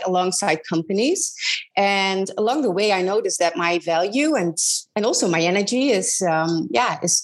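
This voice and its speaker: A woman in her thirties from the Netherlands with a Dutch accent, speaking as an interview guest, often pausing and restarting her sentences. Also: on the low side. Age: 30s. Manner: hesitant, many ums and restarts. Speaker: guest, business advisor. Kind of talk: casual interview conversation.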